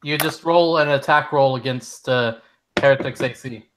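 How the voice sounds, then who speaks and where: A young man runs a casual tabletop game, describing what the players see in a mid-range voice. He talks haltingly, stopping and restarting often as he narrates. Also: medium pitch, background noise. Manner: halting, many fillers and restarts. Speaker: game master.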